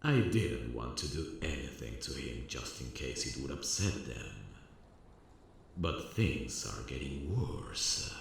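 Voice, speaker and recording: A man voicing a gravelly whispering campus killer. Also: slight background noise, heavily acted. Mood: quietly menacing. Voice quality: gravelly, husky low voice. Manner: calm, quiet, menacingly soft, often near a whisper. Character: soft-spoken male campus serial killer, calm and menacing. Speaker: voice actor. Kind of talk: ASMR audio drama.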